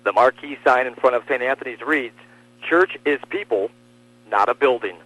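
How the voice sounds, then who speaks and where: A man's voice: mid-range voice, normal speed, fairly bright tone, no echo, low-quality recording. American male radio news reporter. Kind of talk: scripted radio news delivery.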